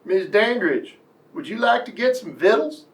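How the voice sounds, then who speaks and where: A man's voice: high-pitched, big pitch swings, normal speed, recorded from a distance. A man acts out a folksy boss in a radio play, standing a little off the mic. He is hearty and blunt, with a cowboy drawl. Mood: courtly.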